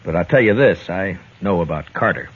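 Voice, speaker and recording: An actor playing a stern, world-weary frontier marshal, terse and blunt, in an old radio western. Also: male voice, deep voice, lively intonation, brisk, husky. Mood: wry, probing. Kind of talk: 1950s radio western acting. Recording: muffled, tinny old radio recording.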